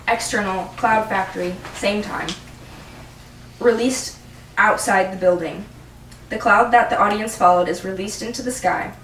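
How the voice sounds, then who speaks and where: A woman's voice: mid-range voice, fairly bright tone. A young adult woman reading stage directions as the narrator of an amateur script read. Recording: roomy, distant mic, faint noise.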